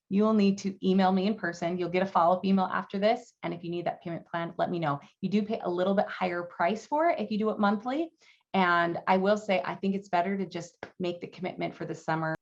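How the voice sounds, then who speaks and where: A woman's voice: fairly low pitch, highs cut off. A woman in her thirties hosting a live online Q and A, upbeat and encouraging, with plenty of ums and likes. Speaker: language program host. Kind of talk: live webinar Q and A.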